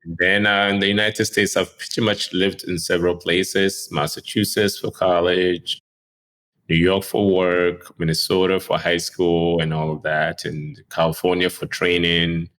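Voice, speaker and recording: Deep-voiced Ghanaian-born man, easygoing. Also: little pitch movement, measured pace, fairly bright tone, rough recording. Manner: chuckles often, fillers like "you know" and "um". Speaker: neurosurgeon guest. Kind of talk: relaxed conversational interview.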